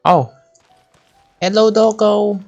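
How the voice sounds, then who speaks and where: A young man live-streaming a game, casually commenting and reading aloud in a halting, stumbling way. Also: high voice, vocal fry, a little mellow, highs cut off. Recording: game music behind the voice.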